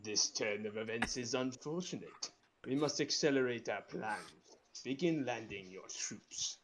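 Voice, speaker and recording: A man voicing a sinister, cold hooded dark lord in a comedic read of a film script. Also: medium pitch, animated, a little slow, crowd noise, voice pushed down, lightly acted. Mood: cold, commanding.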